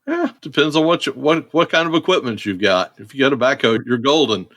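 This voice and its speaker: A man in his forties hosting a podcast, reading a guest intro and then chatting in a steady way. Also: medium pitch, a little fast, dry recording, clean studio sound. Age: 40s. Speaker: podcast host.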